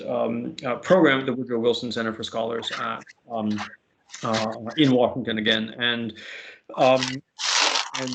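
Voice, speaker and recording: Moderator, male, gravelly voice, informal, full of ums and uhs, on a video call. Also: medium pitch, fairly bright tone. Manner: filler-heavy, informal. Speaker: panel moderator. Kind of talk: introducing a speaker at an online event.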